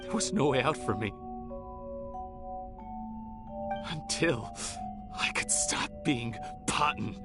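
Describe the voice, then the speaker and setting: An actor playing a bitter, self-justifying researcher turned criminal in a video game, with a resentful, theatrical delivery. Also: male voice, mid-range voice, animated, measured pace, loud music behind the voice. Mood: bitter brooding. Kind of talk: dramatic video game voice acting.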